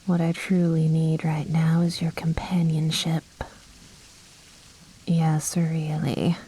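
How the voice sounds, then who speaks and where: A woman playing a commanding, possessive vampire noblewoman in an intimate ASMR roleplay, speaking in breathy whispers with a haughty, coaxing, softly menacing manner. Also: deep voice, monotone, slow, exaggerated voice, rough recording.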